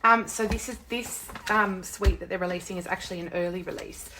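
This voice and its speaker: Croaky woman hosting a craft live. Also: fairly low pitch, fairly even intonation, brisk, noisy background. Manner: chatty and rambling, greets viewers by name, apologetic. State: croaky, sick with a sore throat. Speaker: craft demonstrator and live-stream host. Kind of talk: casual live craft tutorial chat. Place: at home at her craft desk.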